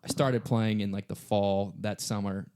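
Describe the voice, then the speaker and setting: A man's voice: lowish voice, steady tone, normal speed, mellow, clear, resonant tone. A man co-hosting a podcast in casual chat, joking around and using plenty of slang. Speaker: podcast co-host.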